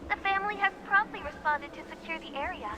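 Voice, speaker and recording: A woman's voice: very high voice, fast, background noise. A woman voicing a polished, reassuring public announcer in a video game, formal and composed.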